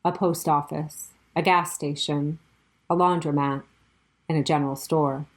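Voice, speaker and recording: Female storyteller for a narrative podcast, low voice, measured and deliberate delivery. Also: fairly even intonation. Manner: measured, short deliberate phrases. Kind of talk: scripted first-person story narration.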